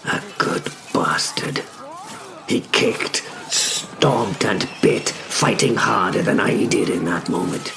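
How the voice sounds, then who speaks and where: Man playing low, slow, gravelly knight William. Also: exaggerated voice. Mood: grave, wry. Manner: measured, solemn, hushed storytelling with deliberate pauses. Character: young male knight William, reflective, brave yet frightened. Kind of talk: scripted first-person dramatic narration. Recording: clear background noise and ambience.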